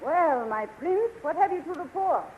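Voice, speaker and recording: A woman's voice: high-pitched, lively intonation, moderate pace. An actress playing a shrewd, regal queen who questions people theatrically in a 1930s radio serial. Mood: commanding. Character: imperious, shrewd young queen of a fantasy realm. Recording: muffled, noisy 1930s radio recording.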